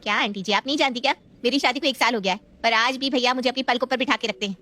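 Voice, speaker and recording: A woman plays a pampered young married sister in a domestic story, chirpy, proud and quick to take offence. She chatters emphatically and fast in a fairly high voice. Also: sharp tone. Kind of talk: scripted family story acting.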